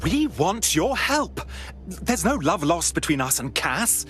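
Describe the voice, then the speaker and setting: Cool female operative, slow deliberate delivery, widely varied intonation, sarcastic, game cutscene drama. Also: lowish voice, big pitch swings, a bit bright, faint music behind, exaggerated voice. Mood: urgent, persuasive. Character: cool, sarcastic resistance operative negotiating under threat.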